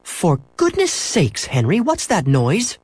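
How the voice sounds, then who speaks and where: Exasperated, fussy mother for a children's tale, played by a man, high and animated. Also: brisk, no room sound, heavily acted. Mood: surprised, demanding. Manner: exasperated, fretful. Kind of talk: children's storybook character voice.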